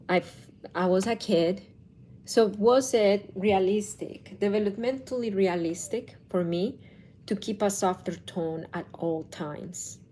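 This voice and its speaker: A woman's voice: medium pitch, a little mellow. A woman, likely in her thirties, talking to camera as a parenting coach in a thoughtful, casual way with restarts. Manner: slow, thoughtful, with restarts and self-corrections.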